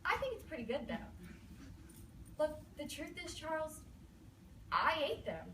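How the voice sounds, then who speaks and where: A woman's voice: high voice, huge pitch swings, unhurried, sharp tone, heavily acted. A woman performing a comic stage monologue as a quirky, manically lovesick wife refusing divorce, dramatic and pleading. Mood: desperate, lovesick. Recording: roomy, distant mic, faint noise.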